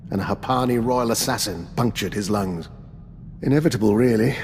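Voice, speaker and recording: An actor playing an urbane, calculating old doctor and veteran spy in a video game, with a refined, courteous, understated manner. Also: male voice, mid-range voice, big-room sound, a little hiss or hum, lightly acted. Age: older. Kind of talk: video game dialogue acting.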